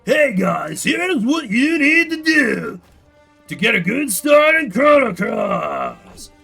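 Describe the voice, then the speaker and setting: An overeager, grating male gamer , acted out by a man, introduces a video guide. His voice is pushed very high and shouted, with drawn-out, forced cheer and no charm. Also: very animated, creaky, breathy edge, faint music behind, heavily acted. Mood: obnoxiously eager.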